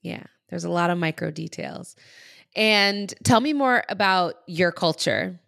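Creaky, low-pitched woman podcast host. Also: expressive, unhurried, a bit bright. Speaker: podcast host and wedding planner. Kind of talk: casual chatty interview.

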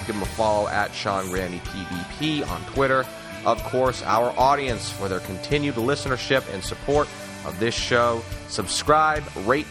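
A man hosting a sports talk podcast in an emphatic, wordy announcer style. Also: mid-range voice. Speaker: podcast host. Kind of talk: sports talk interviewing and show sign-off. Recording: music bed under the voice. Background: music.

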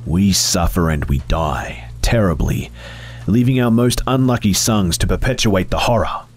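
A man voicing a faceless, vengeful static phantom with a buzzing, hissing voice and a slow, solemn, menacing delivery. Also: sits low, expressive, voice pushed up.

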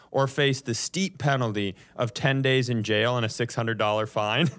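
A man's voice: medium pitch, fairly bright tone. A man hosting a comedy podcast, telling a story from notes in a casual, profane way and often chuckling as he goes.

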